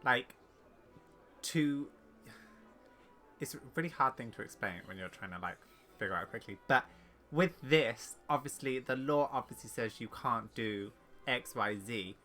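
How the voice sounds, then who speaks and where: A man giving casual, halting commentary on a game as he thinks aloud, with music underneath. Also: mid-range voice, lively intonation, sharp tone. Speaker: gaming streamer.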